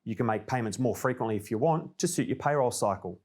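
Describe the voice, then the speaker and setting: A man's voice: medium pitch, expressive, quick pace, a little mellow. Australian man presenting scripted business information. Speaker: presenter of a company newsreel.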